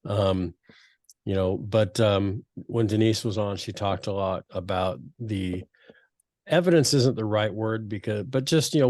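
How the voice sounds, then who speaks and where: A man's voice: lowish voice, darkish tone, no echo, studio quality. A man hosting a podcast interview, asking long questions while searching for his words. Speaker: podcast host.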